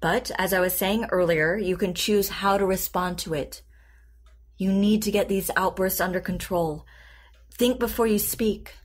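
An actress playing a calm, patient therapist in an audio drama, composed and deliberate in her delivery. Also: medium pitch, natural voice. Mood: firm, encouraging. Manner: composed, deliberate, clinical, reassuring. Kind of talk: naturalistic audio drama acting.

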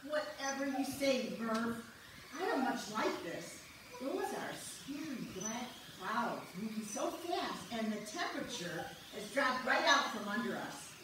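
A woman's voice: on the high side, very animated, a little slow, a bit bright. A woman playing an uneasy, chilly woman out on the ice in an amateur stage reading, recorded from a distance in a church. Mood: cold, uneasy. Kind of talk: amateur reader's theater. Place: church sanctuary stage with audience. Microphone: far camera in the pews. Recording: distant, echoey noisy church hall recording.

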